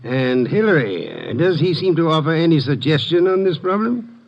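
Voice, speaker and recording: A haughty, fussy master detective from a vintage radio mystery. The voice is somewhat high and creaky, with pompous, theatrical phrasing. The sound is muffled. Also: male voice, big pitch swings, moderate pace. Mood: musing. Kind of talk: 1950s radio acting.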